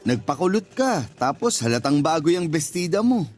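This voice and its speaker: A man's voice: fairly high pitch, very animated, normal speed, a little mellow. A man playing an earnest, tactless young suitor in a Tagalog radio drama, careful and apologetic as he explains himself. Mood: shy, teasing.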